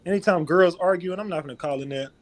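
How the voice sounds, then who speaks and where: A man ranting in an animated way during a casual group chat. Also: high-pitched, fast talker. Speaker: panel guest.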